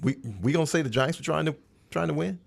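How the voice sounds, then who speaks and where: A man's voice: a little high, fast talker, creaky. A man talking sports casually as a podcast panelist, emphatic and repeating words for stress. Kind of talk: casual sports roundtable discussion.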